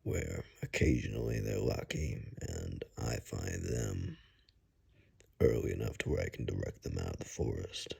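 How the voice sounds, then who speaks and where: A man playing a gruff, wry forest ranger and monster hunter in an ASMR roleplay, speaking in a hushed, halting way with dry humor. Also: animated, exaggerated voice. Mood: grim, dry. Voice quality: very deep, gravelly, husky. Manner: slow, halting, hushed, dryly joking. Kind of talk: ASMR roleplay, one-sided conversation.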